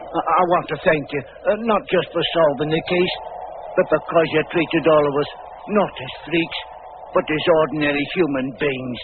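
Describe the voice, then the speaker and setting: An actor playing an earnest, very tall sideshow giant in 1940s radio drama style, sincere and a little halting. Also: male voice, fairly high pitch, fairly even intonation, close to an everyday voice. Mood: grateful, earnest. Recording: noisy, tinny old radio recording.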